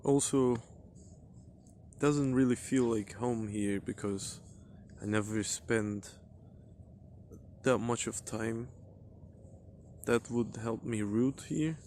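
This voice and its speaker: Man, twenties, Turkish accent. Reflective documentary interviewee, hesitant phrasing, middling-to-low pitch. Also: slow, pauses often, a little mellow, echoey room, a little hiss or hum. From southern Turkey. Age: 20s. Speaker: student, documentary subject.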